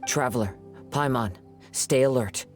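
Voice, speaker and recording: A man voicing a calm, watchful young scout in a video game, measured and serious. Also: mid-range voice, faint music behind, close to an everyday voice. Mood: alert, guarded.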